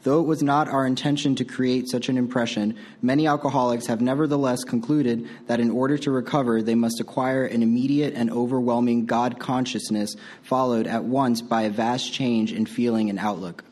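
A man reading a passage aloud at a meeting in a steady, measured way. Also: medium pitch. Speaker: meeting reader.

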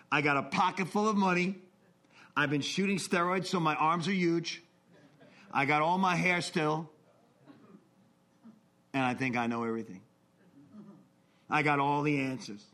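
Earnest man, forties, slightly high voice, testimony-style talk that swings from intense to quiet. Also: moderate pace, pauses often. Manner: earnest, confessional, swings between intense and hushed. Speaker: recovery speaker.

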